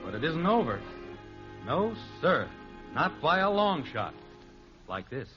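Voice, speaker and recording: A man's voice: fairly high pitch, big pitch swings, slow pace, muffled recording. A male American announcer reading scripted narration in the style of 1950s radio. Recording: band-limited old radio recording. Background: music.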